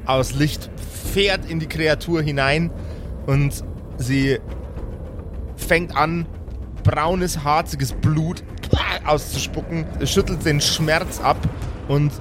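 A man's voice: a little high, fairly even intonation, slow, music in the background. A man acting as game master, narrating an improvised tabletop game and slipping English words and swearing into his talk.

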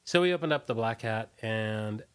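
A man's voice: fairly low pitch, animated, fairly bright tone, clear, resonant tone. A man reminiscing casually as an interview guest, telling stories loosely and often trailing off. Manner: halting, trailing off, loose storytelling.

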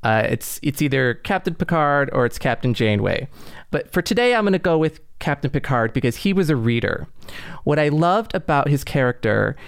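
A man's voice: on the high side, sing-song, moderate pace, vocal fry, clean tone, dry recording, studio quality. An American man co-hosting a podcast in a casual conversational style. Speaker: podcast co-host.

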